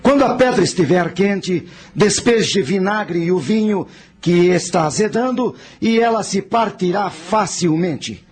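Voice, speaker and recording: A man's voice: high voice, expressive, a little slow, a little mellow. A man playing a stern, resolute general of antiquity in a radio drama, giving orders with authority. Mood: commanding.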